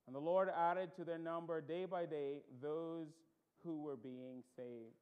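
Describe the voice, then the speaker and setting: A man's voice: fairly high pitch, steady tone, measured pace, narrow, tinny audio. A man with a light Bahamian accent preaching a sermon as pastor, conversational with many ums and restarts, rising to emphatic stresses.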